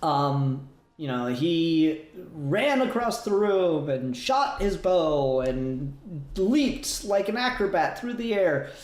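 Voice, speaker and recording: A man's voice: fairly high pitch, sing-song, slow pace, darkish tone. Casual man talking in an echoey room. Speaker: podcast host. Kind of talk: casual critical discussion. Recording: roomy, echoey home recording.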